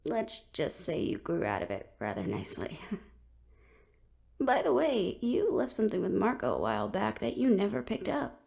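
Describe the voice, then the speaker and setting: A woman playing a composed, protective fantasy adventurer in an audio roleplay, easygoing, teasing and soft-spoken, with a creaky voice. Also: mid-range voice, wildly swooping pitch, unhurried, dry recording, clean studio sound, heavily acted. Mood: teasing, fond.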